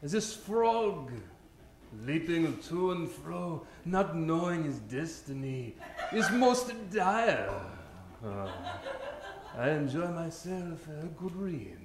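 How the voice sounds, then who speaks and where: A man playing a courtly, well-travelled gentleman of mystery with pompous, flowery phrasing, in an old-time radio comedy. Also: on the high side, very animated, slow pace, echoey room, exaggerated voice. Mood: amused, grandiose. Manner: florid, pompous, formal phrasing.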